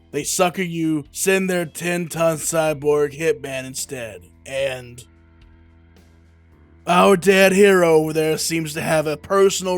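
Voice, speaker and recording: A man playing a gruff, short-tempered police detective in a comic book audio drama, sarcastic and impatient. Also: on the high side, dry recording.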